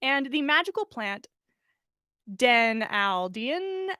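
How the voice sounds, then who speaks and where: Storybook male herbologist read by a woman: earnest, wordy, courteous, high-pitched, close dry sound. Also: big pitch swings, slow, bright, forceful tone, clear, resonant tone, no echo, heavily acted. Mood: earnest, formal. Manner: formal, wordy, polite. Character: earnest, bookish, absent-minded adult male herbologist.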